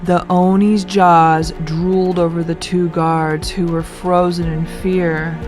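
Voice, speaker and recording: A woman narrating a children's folktale as a read-aloud, with expressive, deliberate delivery. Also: lowish voice, soft background music. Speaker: storyteller.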